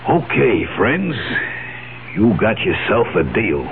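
An actor playing a sly, greedy small-time crook with a slangy, mocking, tough manner in a 1970s radio drama. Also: male voice, fairly low pitch, sing-song, slow, gravelly, heavily acted. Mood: sly, satisfied. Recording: narrow, hissy old radio recording.

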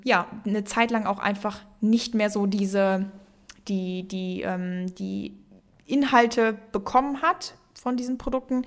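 Chatty young woman, rambling podcast talk. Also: mid-range voice. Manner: rambling, many fillers, drops English words into German. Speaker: podcast co-host. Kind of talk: casual podcast chat.

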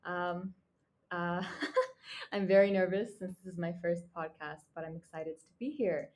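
Soft-spoken young woman, mellow low voice. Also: steady tone, measured pace. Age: young adult. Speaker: podcast guest. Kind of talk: casual podcast chat.

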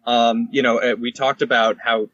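A man co-hosting a podcast, talking casually and pausing often as he finds his words. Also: medium pitch, level tone, fairly bright tone, lo-fi sound, a little hiss or hum. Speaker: podcast co-host.

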